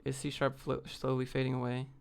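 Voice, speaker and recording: A man from the United States with a gravelly, fry-laden voice at an average male pitch. He talks informally and bluntly, like a streamer fielding questions from viewers, reading each one out and replying off the cuff. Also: monotone, a little fast, a little mellow. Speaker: livestream host answering viewer questions. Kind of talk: casual off-the-cuff Q&A chat, reading questions aloud.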